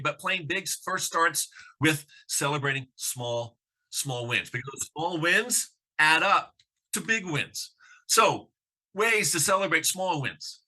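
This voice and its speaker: Bright-voiced man who stutters. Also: on the high side, measured pace, low-quality recording. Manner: stutters with sound repetitions; emphatic rhetorical questions. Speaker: conference speaker. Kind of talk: motivational talk.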